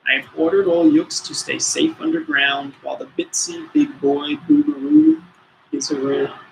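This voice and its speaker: A man's voice: on the high side, expressive, normal speed, vocal fry, slight background noise, rough recording. A man voicing a boastful, jolly chief commander who gives grand, encouraging rhyming orders in a storybook reading. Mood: confident, commanding.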